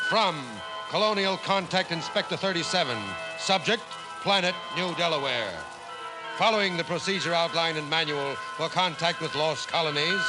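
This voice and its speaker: An actor in a 1950s radio drama playing a colonial inspector dictating a report in a formal, measured, official way. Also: male voice, fairly high pitch, close to an everyday voice, poor audio. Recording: old radio recording under loud music.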